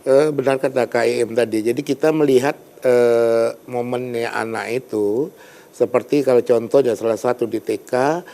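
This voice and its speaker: A man's voice: mid-range voice, steady tone, normal speed, dark tone. A man who is a storyteller and teacher, explaining hesitantly in a TV studio interview and searching for words with many fillers.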